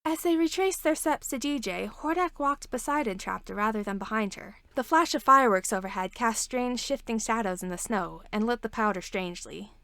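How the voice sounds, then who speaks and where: Higher-pitched woman reading a story. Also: a little fast, few pauses, a bit bright, dry recording. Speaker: podfic reader. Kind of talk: scripted story narration.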